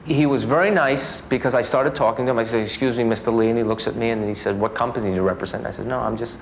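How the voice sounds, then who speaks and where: A man's voice: mid-range voice, fast, a little hiss or hum, low-quality recording. A man from the United States, a martial artist, telling an eager personal anecdote in an interview. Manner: excited storytelling, hesitant fillers. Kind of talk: conversational anecdote.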